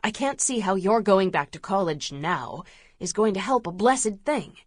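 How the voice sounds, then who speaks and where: A woman voicing a stubborn, hurt young male rancher in an audiobook, speaking low, firm and hushed. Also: lively intonation, a little slow, slightly rough, dry recording, studio quality.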